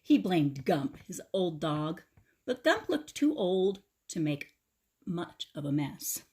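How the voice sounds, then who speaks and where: A woman narrates a children's picture book in a slightly husky voice. Her reading is unhurried, with lively rises and falls. She breaks off for casual asides to her young listeners. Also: lowish voice. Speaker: read-aloud storyteller for young children. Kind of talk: expressive storybook narration with chatty asides.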